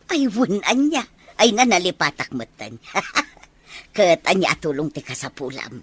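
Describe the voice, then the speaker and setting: Woman acting as a lively, husky, fussing mother. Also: fairly low pitch, a little slow, dry recording, exaggerated voice. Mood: chatty. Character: caring, lively mother of a grown daughter. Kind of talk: Ilocano audio drama acting.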